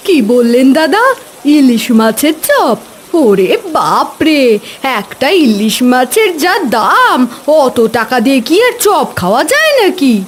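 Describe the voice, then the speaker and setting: A woman's voice: high-pitched, big pitch swings, a little slow, a little hiss or hum. A woman voicing an easily astonished, thrifty village customer in a Bengali cartoon. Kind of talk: Bengali cartoon story voice acting.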